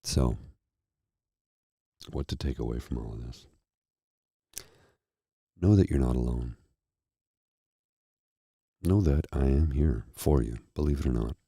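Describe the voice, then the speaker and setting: A man with a very deep, dark, husky voice speaks slowly and earnestly, a tired frog in his throat. The sound is dry and close, with no room around it. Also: dry recording. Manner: slow, earnest, heartfelt. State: tired and a little froggy-throated. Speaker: host. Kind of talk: candid personal talk to viewers.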